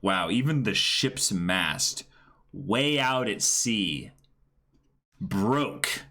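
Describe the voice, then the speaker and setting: A man's voice: fairly low pitch, expressive, drawn-out words, sharp tone. A man co-hosting a casual manga reading, bantering and reacting with exclamations. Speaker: co-host of a manga dramatic reading.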